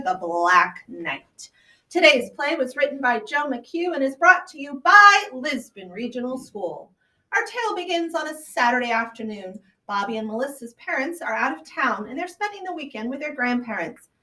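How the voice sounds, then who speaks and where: A woman's voice: high voice, expressive, normal speed, pushed and bright. A young girl giving a scripted introduction as announcer of a radio drama. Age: child or teen. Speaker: announcer and narrator. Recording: echoey, distant school recording.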